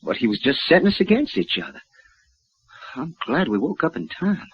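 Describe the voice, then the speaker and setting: An actor in a 1950s radio western playing a contrite, plain-spoken frontier townsman with a rough voice. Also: a little high, big pitch swings, normal speed, exaggerated voice. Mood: sheepish, pleading. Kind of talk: 1950s radio drama acting. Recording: dull old radio broadcast.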